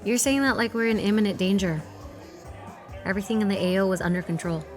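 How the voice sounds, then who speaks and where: A woman's voice: mid-range voice, fast talker, darkish tone, close to an everyday voice. A woman playing a guarded, level-headed operative in a naturalistic drama, dry and pointed. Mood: skeptical. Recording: music under dialogue.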